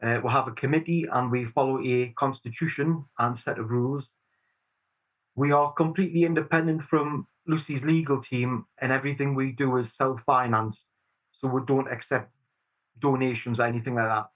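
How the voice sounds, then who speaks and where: A man's voice: medium pitch, fairly even intonation, normal speed, highs cut off. A man in his forties with a Geordie accent, speaking sincerely and steadily as a guest in an interview. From Newcastle upon Tyne, England. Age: 40s. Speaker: campaign group chair, guest. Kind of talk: earnest interview answers.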